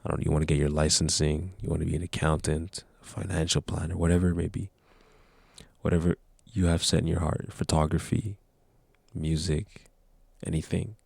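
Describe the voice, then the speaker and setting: A man's voice: very deep voice, flat delivery, fairly quick, gravelly, darkish tone, breathy edge, no echo, studio quality. A nineteen-year-old man talking casually to camera, giving earnest, rambling motivational advice. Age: young adult. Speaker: vlogger.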